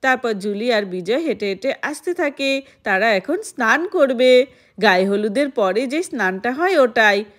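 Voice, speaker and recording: High-voiced woman storyteller. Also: animated, moderate pace. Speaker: storyteller of a doll play. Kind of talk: narrating and voicing a children's doll story.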